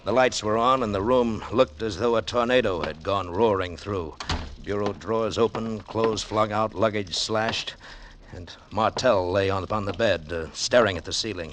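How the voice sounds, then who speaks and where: An actor in 1940s radio style playing a wry, world-weary adventurer, terse and measured, on a noisy vintage recording. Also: male voice, fairly low pitch, close to an everyday voice. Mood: tense, reflective. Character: hard-boiled, wry male adventurer narrating his tale. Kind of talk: 1940s radio acting and first-person narration.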